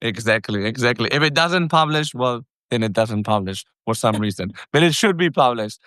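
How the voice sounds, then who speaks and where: An energetic man hosting a business podcast, with a smooth, resonant voice that sits in a middle register. The recording is close and dry, with no room sound at all. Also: mid-range voice, normal speed, no echo. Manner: energetic, talkative, self-correcting. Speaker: podcast host. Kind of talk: casual podcast interview.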